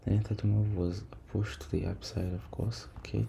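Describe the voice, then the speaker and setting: Male trader for casual screen-share commentary: deep, flat delivery, low energy, hesitant, with clear background noise and keyboard sounds. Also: brisk. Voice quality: low, creaky voice. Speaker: trader recording a backtesting session. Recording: noisy room, keyboard and typing sounds.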